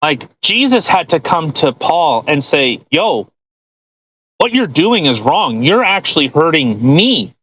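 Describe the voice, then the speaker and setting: A blunt podcast host with a mid-pitched voice that swings up and down with great animation. The delivery is casual, argumentative and peppered with swearing, like commentary on something being read aloud. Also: big pitch swings, takes it slowly, a bit bright, poor audio. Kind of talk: casual commentary while reading a post aloud.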